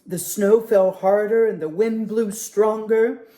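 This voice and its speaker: Slow, expressive children's storyteller man. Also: sits high. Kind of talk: children's story time reading.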